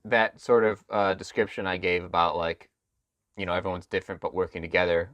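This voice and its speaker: A low-voiced man hosting a faith podcast who works through his questions aloud with plenty of fillers and restarts. His delivery is thoughtful and halting. The recording is dry and close. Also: little pitch movement, a bit bright, no room sound, muffled recording. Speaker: podcast host. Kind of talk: interview conversation.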